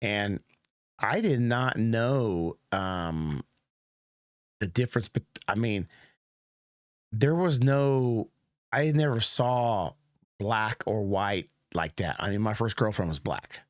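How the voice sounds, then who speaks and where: Low-voiced man musing in a dry room. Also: takes it slowly, pauses often, dry recording. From United States. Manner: rambling and reflective, many fillers and restarts. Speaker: reaction video host. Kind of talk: casual commentary.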